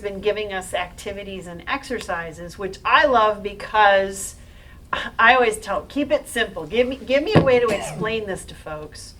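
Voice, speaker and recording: A woman's voice: mid-range voice, a bit bright, far from the mic, poor audio. Woman explaining, heard from afar. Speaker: presenter. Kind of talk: live talk to an audience.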